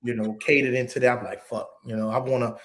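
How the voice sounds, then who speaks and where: A man rambles through a relaxed, profane livestream chat. His talk is loose and slangy, picked up by a laptop mic at home. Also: medium pitch, quick pace. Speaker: stream host. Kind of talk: casual late-night livestream chat.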